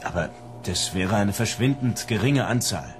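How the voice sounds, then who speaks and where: A man's voice: on the low side, lively intonation, normal speed, music in the background, lightly acted. A man acting the part of an eager, confident police officer in a radio drama. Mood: keen, confident.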